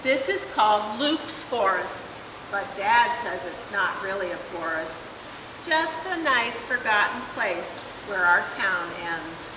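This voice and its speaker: A woman reading a children's picture book aloud in an expressive, deliberate storytelling manner. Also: medium pitch. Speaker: volunteer story reader. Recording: echoey hall, distant mic, clear hiss.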